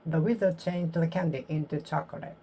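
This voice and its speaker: A Japanese woman with a Japanese accent practicing English aloud to herself, halting and repeating phrases as she corrects them. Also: deep voice, fairly even intonation, warm tone, a little hiss or hum. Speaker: vlogger. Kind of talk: solo English speaking practice, talking to herself.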